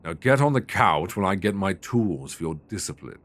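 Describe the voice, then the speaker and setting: A British man playing a stern, possessive, dominant lover in an intimate ASMR roleplay, speaking deliberately and commandingly. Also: sits low, expressive. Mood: stern, controlled. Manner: slow, deliberate, commanding with soft endearments.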